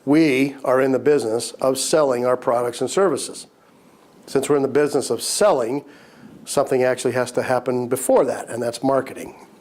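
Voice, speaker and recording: Man with a raspy voice from a cold. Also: medium pitch, steady tone, moderate pace, a little mellow. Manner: conversational, repeats key points, frequent throat clearing. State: recovering from a cold, slightly raspy. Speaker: business course instructor. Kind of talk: recorded lecture to entrepreneurs.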